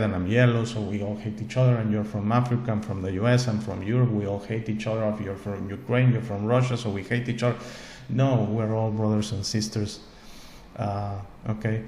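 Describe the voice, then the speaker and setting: A man with a somewhat low voice gives a heartfelt, wandering monologue in English, which is not his first language. He keeps repeating words and checking in with the listener. The sound is roomy and echoey, with a faint hum of background noise. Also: darkish tone. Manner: earnest, rambling, repeats words, frequent "okay?". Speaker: host. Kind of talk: spiritual monologue to camera.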